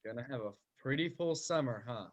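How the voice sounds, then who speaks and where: A man's voice: medium pitch, animated, a little fast, close to an everyday voice. A man playing a carefree teenage younger brother in a radio play, over a dull video-call microphone. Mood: breezy. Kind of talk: 1940s-style radio play acting.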